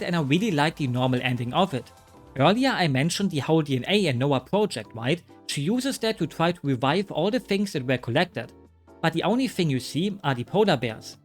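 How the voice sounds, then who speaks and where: A man with a fairly high voice talks through a game review in a scripted, offhand way, dropping wry jokes at his own expense. Soft music plays quietly underneath. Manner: wry, joking asides. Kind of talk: scripted commentary.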